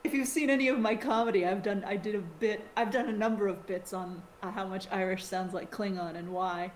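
Middle-aged woman from the us, podcast co-host, talky and animated, echoey room with a faint hiss. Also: mid-range voice, a little fast. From United States. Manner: chatty, animated, with many restarts. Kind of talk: casual podcast chat. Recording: roomy sound with faint hiss.

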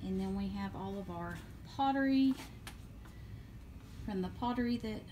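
A woman's voice: mid-range voice, measured pace, mellow, far from the mic. Brief: middle-aged woman, gushing shop-tour vlogger, phone mic drifting away, store hum behind. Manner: chatty, gushing over items, trails off mid-sentence. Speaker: booth owner giving a shop tour. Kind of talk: casual walk-through vlog. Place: antique mall booth, indoors. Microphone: phone camera held while walking.

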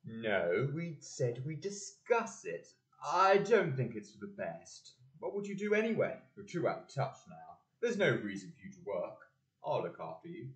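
A man's voice: mid-range voice, huge pitch swings, normal speed. Patronising, firm husband for community drama, played by a man; hugely swinging intonation, echoey room. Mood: dismissive. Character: controlling, dismissive husband and father. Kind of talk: amateur community audio play.

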